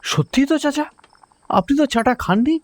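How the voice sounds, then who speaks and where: A man's voice: very high-pitched, lively intonation, normal speed. A man playing a respectful, questioning villager at a tea-stall gathering in an audio story. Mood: curious, puzzled.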